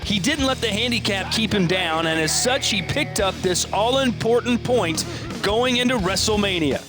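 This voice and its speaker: A man playing an excitable American pro wrestling commentator in a video game, calling the action. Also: high-pitched, moderate pace, over music. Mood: excited.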